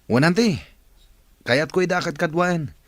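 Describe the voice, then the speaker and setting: A man's voice: on the high side, moderate pace, fairly bright tone, no echo, close to an everyday voice. A man playing a dutiful, deferential young grandson in a radio drama, with an Ilocano accent. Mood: respectful.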